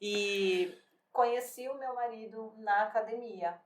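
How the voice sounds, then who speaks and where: A woman's voice: on the high side, a little slow, a little hiss or hum. A woman in her thirties, a bodybuilding athlete, telling her life story in a candid, rambling way, full of fillers and restarts. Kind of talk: personal life story in casual chat.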